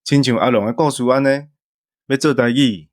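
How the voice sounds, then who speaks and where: A man's voice: mid-range voice, normal speed. A man with a Taiwanese accent, an amateur voice actor, giving a casual farewell talk to listeners. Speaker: amateur voice actor on an audio drama team.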